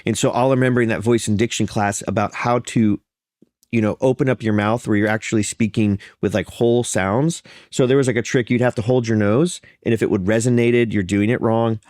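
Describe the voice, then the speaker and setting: A pastor being interviewed, talking in an earnest, storytelling way with a slightly congested, nasal sound and frequent restarts. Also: male voice, fairly low pitch, fairly even intonation, brisk, a little mellow, no echo, studio quality. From Florida. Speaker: pastor and podcast guest. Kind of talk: conversational interview.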